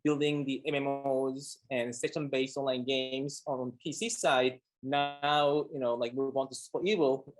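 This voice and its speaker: Halting man with heavy fillers. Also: medium pitch, fairly even intonation. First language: Korean. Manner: halting, many fillers and restarts. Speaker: game company executive. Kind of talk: panel self-introduction.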